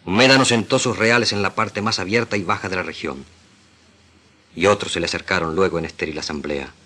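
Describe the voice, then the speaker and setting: A man with an Argentine accent giving a solemn, deliberate, declamatory literary reading as a radio actor. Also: sits low, bright, forceful tone.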